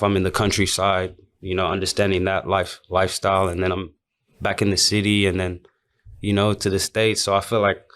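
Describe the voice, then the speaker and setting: A man's voice: low-pitched, a bit bright. A young man from South Sudan and Sydney chatting casually and reflectively as a guest in an interview. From South Sudan and Sydney, Australia. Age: young adult. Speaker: professional basketball player, interview guest.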